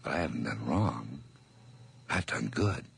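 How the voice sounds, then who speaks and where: An actor playing a mad, nervous murderer confessing in an old radio horror drama, theatrical and intense with whispers and outbursts. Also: male voice, a bass voice, lively intonation, unhurried, vocal fry, background noise, exaggerated voice. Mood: cold, brooding.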